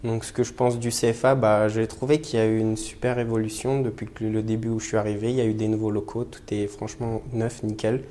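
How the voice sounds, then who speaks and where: Young man in his twenties from French Guiana, apprentice butcher; low, mellow, level delivery with hesitant fillers; faint ambience. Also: little pitch movement, quick pace, hardly any pauses, a little hiss or hum. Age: 20s. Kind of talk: interview portrait.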